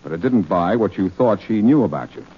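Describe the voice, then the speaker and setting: An actor playing a brash, wisecracking American sleuth in a 1940s radio drama, wry and insistent in manner. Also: male voice, deep voice, lively intonation, fairly quick, breathy edge. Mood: pressing, sardonic. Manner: wry, insistent, clipped questioning. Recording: dull, noisy old radio recording.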